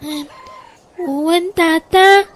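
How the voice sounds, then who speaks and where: Innocent, affectionate small child in radio drama, tiny very high voice, unhurried, Ilocano accent. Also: female voice, exaggerated voice. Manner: childlike, gentle.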